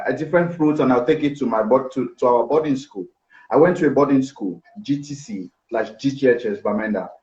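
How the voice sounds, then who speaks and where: A man's voice: mid-range voice, dark tone. A middle-aged man with a Cameroonian accent, telling personal stories conversationally as an interview guest on a video call. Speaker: interview guest, business executive. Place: indoor office. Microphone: video call, seated back from device. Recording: echoey, dull video-call audio.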